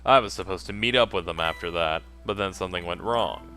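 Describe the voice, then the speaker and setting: A man's voice: sits low, brisk, bright, forceful tone, clean tone. A man voicing a bitter, resigned ghost schemer in a dramatic read-aloud of game dialogue over music. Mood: frustrated. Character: bitter, resigned male ghost schemer confessing his plot.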